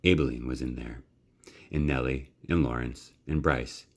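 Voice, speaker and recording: A man reading a children's story aloud in a measured, dramatic, expressive narration. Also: a bass voice, gravelly.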